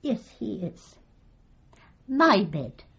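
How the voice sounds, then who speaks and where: An actress playing a meek, hapless, scatty dog owner with an earnest, innocently droll manner in a gently comic radio drama. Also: female voice, mid-range voice, very animated, extremely slow, creaky, husky, lo-fi sound, heavily acted. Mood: innocently matter-of-fact.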